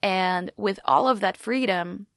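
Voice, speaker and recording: A woman's voice: mid-range voice, slow pace, a bit bright, dry recording, clean studio sound. A young woman, telling her story in a chatty way with lots of "like" and restarts. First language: Polish. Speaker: radio show host. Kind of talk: personal storytelling vlog.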